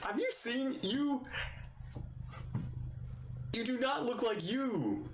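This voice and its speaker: A man giving excitable, playful, casual reaction commentary as a game streamer. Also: extremely high pitch, slow pace. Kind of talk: casual gameplay reaction commentary, reading lines aloud. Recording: roomy echo. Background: game music.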